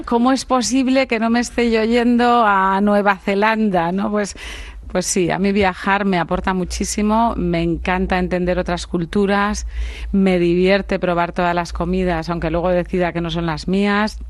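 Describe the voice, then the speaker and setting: A woman from Spain, a business leader as the guest in a radio studio, answering in a reflective, unhurried way. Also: mid-range voice, steady tone, no echo. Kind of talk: radio interview conversation.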